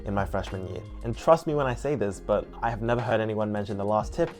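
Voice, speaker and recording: A man's voice: fairly low pitch, fast, a little mellow, soft background music. A young man, a university student, giving earnest, explanatory advice in a scripted vlog narration. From international student. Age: young adult. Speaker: student vlogger.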